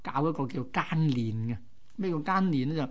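Medium-pitched Hong Kong Cantonese male host. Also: lively intonation, moderate pace, dull audio. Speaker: radio co-host. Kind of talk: conversational history storytelling.

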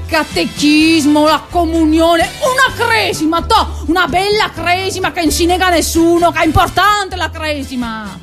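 A woman's voice: extremely high pitch, animated, moderate pace, hardly any pauses, fairly bright tone. A woman acting on stage as a bossy, teasing female figure who needles with theatrical, sing-song repetition. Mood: mocking, chatty. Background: music.

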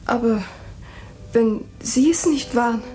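An actress playing a shaken, confused young widow in a radio drama, asking bewildered questions. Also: female voice, a little high, slow pace, mellow, soft background music.

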